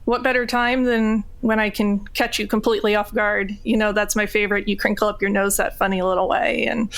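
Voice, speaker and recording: A woman's voice: medium pitch, flat delivery, fairly quick, creaky, a bit bright. A woman roleplaying casually as a player in a tabletop game, understated and conversational.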